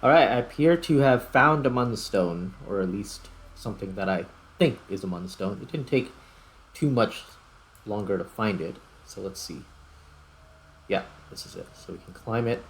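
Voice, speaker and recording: A man's voice: lowish voice, lively intonation, moderate pace, low-quality recording. A man giving casual video game commentary as host, thinking aloud in short fragments. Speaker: gaming YouTuber, host. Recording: clear background noise.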